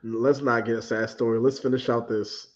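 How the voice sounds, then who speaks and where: Late-twenties male guest, mid-pitched, blunt and easygoing, a little dull and lo-fi on the mic. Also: little pitch movement, moderate pace. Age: 20s. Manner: laid-back, blunt, laughs often. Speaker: podcast guest. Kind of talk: casual sports chat.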